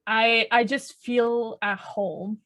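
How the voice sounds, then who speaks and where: A woman's voice: fairly high pitch, fairly even intonation, takes it slowly, a bit bright. A young woman whose first language is French, co-hosting a podcast in casual chat and telling stories with frequent restarts. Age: young adult. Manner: chatty storyteller with frequent restarts and laughter. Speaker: podcast co-host.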